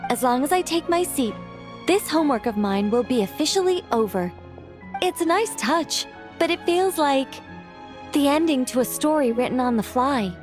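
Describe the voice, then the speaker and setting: A woman playing a whimsical young witch in a video game, self-assured and breezy, musing in a gently theatrical way. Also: high voice, animated, moderate pace, over music. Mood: wistful, reassuring.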